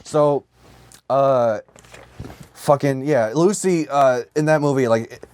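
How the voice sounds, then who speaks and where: A man co-hosting a podcast in casual comedic banter, swearing freely and telling stories in an animated, riffing way. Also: mid-range voice, slow, clean tone. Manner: profane, riffing, animated storytelling. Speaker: podcast co-host. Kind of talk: casual comedic banter about films and comics.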